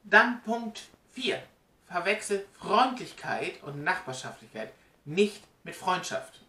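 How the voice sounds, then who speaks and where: Guy in his 30s doing a chatty vlog, kinda high bright voice, sounds a bit far from the webcam. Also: sing-song, takes it slowly. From Germany. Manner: chatty, emphatic, addresses viewers directly. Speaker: vlogger. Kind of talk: casual explainer to camera. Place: small indoor room at home. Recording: roomy, distant webcam sound.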